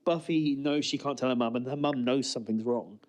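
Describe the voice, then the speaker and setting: A man's voice: mid-range voice, a little fast, darkish tone. A man co-hosting a podcast, talking through his observations in a casual, conversational, explanatory way. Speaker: co-host of a TV commentary podcast.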